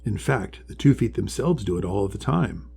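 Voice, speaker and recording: Guy doing a giant wise old sea creature, deep n mellow, kindly storybook voice, noisy recording. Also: animated, gravelly. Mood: kindly, encouraging. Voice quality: deep, resonant. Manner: slow, measured, kindly pronouncements. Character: huge ancient wise male sea creature, gentle and friendly. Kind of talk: storybook character voice.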